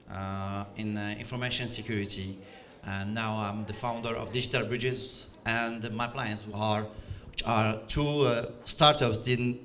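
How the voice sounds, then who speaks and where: Man, middle-aged, Algerian accent, bright voice, hesitant conference talk, many fillers. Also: fairly low pitch, a little hiss or hum. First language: French and Arabic. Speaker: conference speaker. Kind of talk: conference presentation. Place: conference stage.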